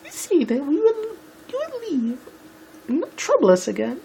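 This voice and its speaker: A man voicing a prim, refined female pony from a story in a strained, shrill falsetto. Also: wildly swooping pitch, moderate pace, gravelly, mellow, slight background noise, heavily acted. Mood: prim, firm.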